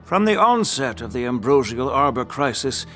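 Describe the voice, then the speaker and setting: An elderly, venerable strategist in a game story scene. He chooses his words formally and with weight, his mid-pitched voice rising and falling freely. Faint music plays behind him. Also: sing-song, bright, forceful tone. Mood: resolute. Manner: formal, deliberate, weighty phrasing. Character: venerable elder statesman, measured, sagely strategist. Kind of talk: scripted video game dialogue.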